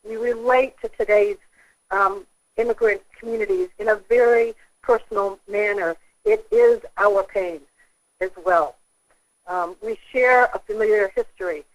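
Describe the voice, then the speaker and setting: An American woman giving a prepared speech as an organization leader over a telephone conference line, with a halting, hesitant delivery. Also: medium pitch, poor audio.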